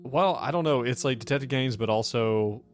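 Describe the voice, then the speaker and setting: A man's voice: medium pitch, moderate pace. A man hosting and running a tabletop game podcast, chatting casually with friends in a teasing, profane way. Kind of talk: casual banter among friends.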